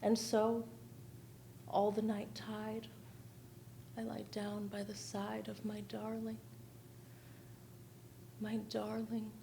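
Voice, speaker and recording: A young woman gives a solemn, mournful dramatic reading of verse in a measured manner. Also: mid-range voice, monotone, vocal fry, dark tone, slight background noise. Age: young adult. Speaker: stage performer reciting poetry. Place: theatre stage at a lectern.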